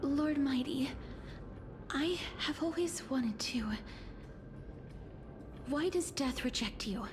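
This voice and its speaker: A woman playing a gentle, resolute young companion in a video game, soft and earnest with formal phrasing. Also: high voice, animated, slow pace, pauses often, background noise. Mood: concerned, reverent. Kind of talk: dramatic video game voice acting.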